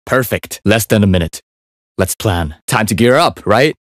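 An actor playing a calm, focused young Chinese assassin in a video game, cool, clipped and politely deadly. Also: male voice, fairly low pitch, moderate pace, no room sound, studio quality. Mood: cool, composed. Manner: cool, understated, clipped delivery. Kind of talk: video game character voice lines.

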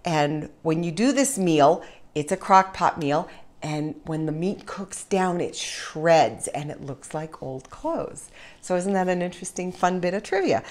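Slow-paced woman giving a cooking demonstration. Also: lowish voice, lively intonation. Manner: chatty, step-by-step instructing with small asides. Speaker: home cooking show host. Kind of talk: informal cooking demonstration to camera. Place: home kitchen, indoors. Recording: kitchen noise, faint sizzling and clatter.

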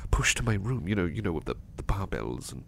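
Guy acting as sleepy devoted servant for asmr, lowish creaky voice, stammers softly, bit of background noise. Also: very animated, fairly quick, full character voice. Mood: earnest, reflective. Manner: hesitant, stammering, often repeating words. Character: gentle, devoted, anxious male servant, sleepy after nightmare. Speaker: roleplay voice performer. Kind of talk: ASMR roleplay, soft personal address.

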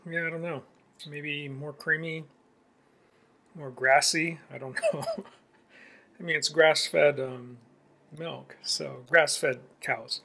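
A man's voice: on the high side, slow pace, gravelly, long pauses. Musing man vlogging from a handheld phone. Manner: relaxed, musing, trailing off with fillers. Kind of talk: casual travel vlog. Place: outdoors in snowy town, then in a truck, then indoors at a table. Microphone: handheld phone at arm's length.

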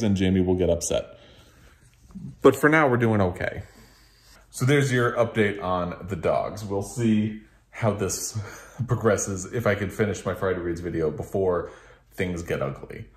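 A man's voice: lowish voice, roomy sound. A man in his thirties talking casually to camera about books, rambling thoughtfully as he reviews them in a living room. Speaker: book reviewer, video host. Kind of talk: casual book chat to camera. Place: small living room with couch and bookshelves, indoors.

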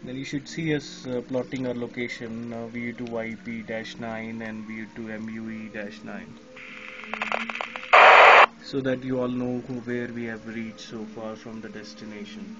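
A man's voice: medium pitch, flat delivery, normal speed, highs cut off, over music. A man with an Indian accent, chatting casually as a ham radio operator, laughing often, outdoors at night in the rain.

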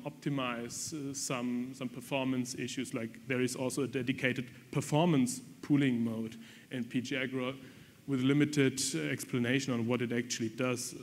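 A man giving a technical conference presentation, talking frankly with frequent ums and restarts. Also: medium pitch. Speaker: conference speaker. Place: conference hall. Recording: long reverb from lecture hall.

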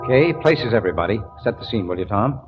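An actor in an old radio drama playing a brisk, sceptical publishing boss with a conversational manner. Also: male voice, fairly low pitch, animated, lightly acted. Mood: brisk, commanding. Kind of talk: 1940s radio drama acting. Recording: muffled old radio recording, faint music.

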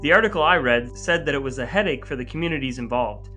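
A man's voice: mid-range voice, expressive, quick pace, pushed and bright, soft background music. A middle-aged American man hosting a podcast, speaking in a scripted, narrating style. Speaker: podcast host.

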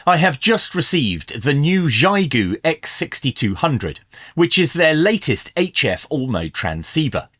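Middle-aged man with lively, swooping intonation. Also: mid-range voice, dry recording, studio quality. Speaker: YouTube host reviewing radio gear. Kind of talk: scripted product review with hands-on commentary. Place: small indoor electronics workshop.

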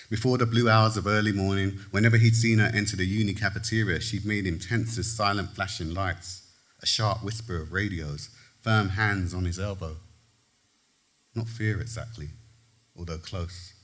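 A man reads his own fiction aloud at a lectern on a theatre stage, his fairly low voice measured and sinking at the close of each phrase. It is a live literary reading before an audience. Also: fairly even intonation, fairly bright tone. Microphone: lectern mic, far camera.

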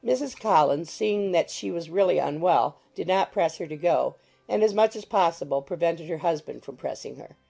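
A woman's voice: fairly low pitch, expressive, normal speed, gravelly, dark tone, husky, soft background music. A woman reading scripted literary narration for an audiobook.

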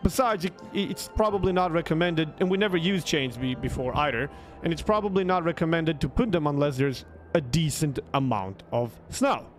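A man's voice: a little high, expressive, normal speed, soft background music. A young man with a Croatian accent, a streamer casually telling a story in an emphatic, sweary way, repeating words for emphasis, close to a desktop microphone. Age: young adult. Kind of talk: casual storytelling to a livestream chat. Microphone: close desktop microphone with headset.

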